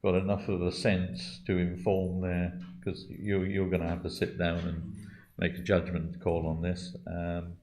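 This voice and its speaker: Low-voiced man chairing a church meeting, careful and hesitant. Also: completely flat delivery, unhurried, a little mellow. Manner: hesitant, with many restarts and qualifiers. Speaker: church meeting presenter answering questions on accounts. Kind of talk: meeting talk, thinking aloud.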